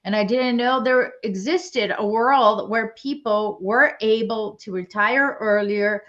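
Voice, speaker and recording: A woman's voice: fairly high pitch, slow, fairly bright tone, lo-fi sound. A woman from Brazil, earnestly telling her story as an interview guest.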